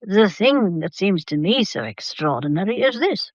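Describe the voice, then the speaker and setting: An imperious old English matriarch , acted by a woman, holds forth in a radio-style mystery drama. She is formal, deliberate and stubbornly inquisitive. The sound is very dry and a little dull, with a middling pitch. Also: very animated, no room sound. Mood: deliberate, pondering. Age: older. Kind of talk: audio drama acting.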